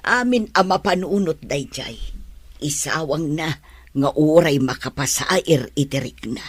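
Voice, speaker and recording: A woman playing a gentle, anxious older village mother in an Ilocano-accented radio drama, speaking plaintively. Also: low-pitched, animated, measured pace, slightly rough, dry recording, heavily acted.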